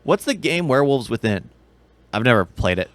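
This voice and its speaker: Mid-pitched man explaining things, dry sound. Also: very animated, fairly quick, no room sound. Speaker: podcast co-host. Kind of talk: casual chat about games and movies.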